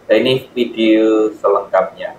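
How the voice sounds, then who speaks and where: A man's voice: on the low side, fairly even intonation, measured pace. A man with an Indonesian accent casually presenting a video channel and dropping in short reaction comments. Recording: roomy, distant, noisy microphone.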